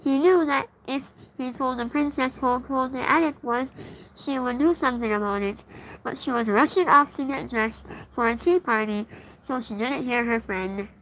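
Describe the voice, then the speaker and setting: A woman's voice: high voice, normal speed, fairly bright tone. A woman hosting a read-aloud and reading a children's story. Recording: clearly noisy background.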